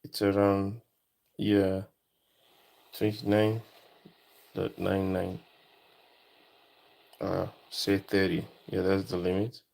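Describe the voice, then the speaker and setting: A man giving a casual, unscripted electronics demonstration, thinking aloud in short, halting phrases. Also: sits low, monotone, gravelly, a little mellow, poor audio. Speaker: electronics hobbyist presenter.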